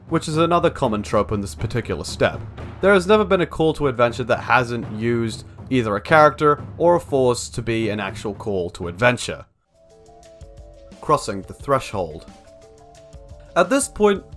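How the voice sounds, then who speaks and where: A young man reading a scripted analytical narration for a video essay. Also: medium pitch.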